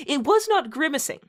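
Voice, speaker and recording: A woman reading a story aloud in a dramatic style, giggly and adding amused asides. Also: high-pitched. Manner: giggly, often breaking into laughter while reading. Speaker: reader of a bad fantasy story.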